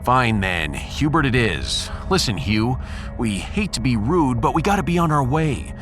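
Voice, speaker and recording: A man's voice: mid-range voice, very animated, unhurried, music in the background. A man playing a blunt, sardonic human starship captain in a sci-fi audio drama, with a wry, theatrical delivery.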